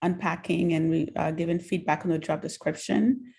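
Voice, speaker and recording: A woman's voice: on the low side, normal speed, gravelly, mellow, breathy edge. A woman on a committee politely raising a practical question about timing. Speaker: committee member. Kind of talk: meeting discussion.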